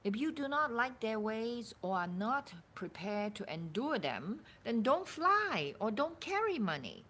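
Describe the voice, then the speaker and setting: A woman informally reading a spiritual dialogue aloud as a teacher, with a deliberate, expressive and at times halting delivery. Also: on the high side. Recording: background room noise.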